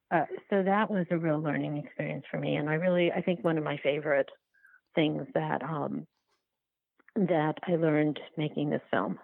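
A woman being interviewed about her work, speaking reflectively and haltingly as she searches for words. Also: low-pitched, narrow, tinny audio. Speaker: documentary filmmaker, interview guest. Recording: roomy, band-limited remote-call sound.

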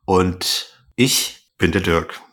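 A man with a somewhat low voice opens the show, greeting listeners and handing the talk to his co-host. His delivery is friendly and unhurried, with a few false starts. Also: fairly bright tone. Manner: friendly, plays the moderator, slow hesitant intro. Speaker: podcast co-host. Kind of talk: casual podcast hosting.

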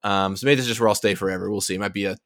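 A man's voice: lowish voice, fairly even intonation, very fast, a bit bright, dry recording, studio quality. A man in his thirties, a podcast guest telling stories in a chatty, joking way. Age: 30s. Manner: chatty, jokey storyteller. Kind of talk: casual chat. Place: Seattle.

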